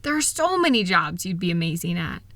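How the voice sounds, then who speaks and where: A woman playing a loving, reassuring wife in an intimate comfort roleplay, speaking in a gentle, soothing, affectionate way. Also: lowish voice, sing-song, brisk, dry recording. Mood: tender, reassuring. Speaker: audio roleplay voice performer.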